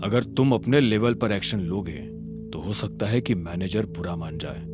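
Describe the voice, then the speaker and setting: A man voicing a calm, authoritative, quietly threatening boss in an audio drama. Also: fairly low pitch, normal speed, over music, voice pushed down, lightly acted. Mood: calm authority. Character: calm, commanding, menacing male boss figure.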